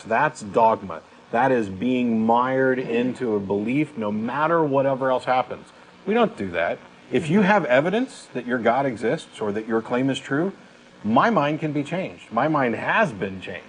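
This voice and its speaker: A man's voice: mid-range voice, lively intonation, normal speed, poor audio. Emphatic man, faint background noise. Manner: emphatic, assertive. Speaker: talk show host. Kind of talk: conversational debate on a talk show.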